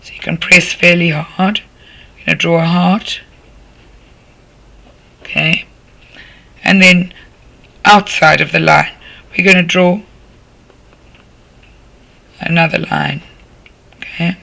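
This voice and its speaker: A man with a croaky voice, patiently walking a class through a craft demonstration step by step as their art tutor. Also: on the high side, fairly even intonation, slow pace, long pauses, sharp tone, rough recording. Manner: patient, instructive, talking while working with hands.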